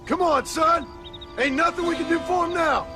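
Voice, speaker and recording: An actor playing a protective frontier rancher and ex-outlaw with a rural American Western drawl and a plainspoken, commanding manner. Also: male voice, extremely high pitch, normal speed, over music. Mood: urgent. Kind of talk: video game voice acting, Western drama.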